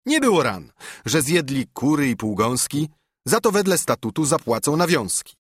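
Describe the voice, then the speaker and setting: An indignant country judge from an old tale, played by a male reader. He is a nobleman who pleads and argues defiantly. His pitch moves a lot, in a dry, close recording. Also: very animated, normal speed, breathy edge, no echo. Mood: pleading, reasoning. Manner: argumentative, protesting.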